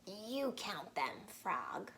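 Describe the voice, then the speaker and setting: A woman voicing a sleepy, grumpy male toad from a storybook, with slow, drawn-out, weary speech. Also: mid-range voice, sing-song, gravelly, fairly bright tone, a little hiss or hum, exaggerated voice.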